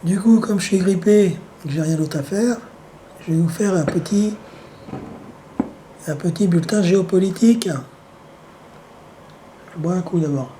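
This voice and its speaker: A man with the flu talks at home in a loose, meandering monologue, his hoarse voice mellow and dark. He is wry and sardonic, and he pauses often with drawn-out hesitations. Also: high-pitched, a little hiss or hum, poor audio. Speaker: vlogger. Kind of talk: rambling casual monologue.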